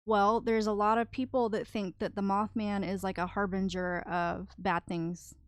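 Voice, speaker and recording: A woman co-hosting a podcast, earnestly walking through a story in a casual chat. Her voice is smooth and clean, recorded close and dry. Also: mid-range voice, little pitch movement, normal speed, no room sound. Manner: explains, recounts stories earnestly. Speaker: podcast co-host.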